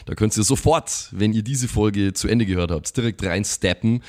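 Guy podcast host, lowish voice, chatty slangy announcements to listeners. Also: normal speed, a little mellow.

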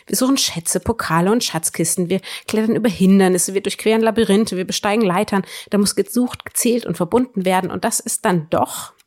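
A woman's voice: medium pitch, a little mellow. A woman hosting a book podcast, giving enthusiastic recommendations. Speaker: podcast host reviewing books.

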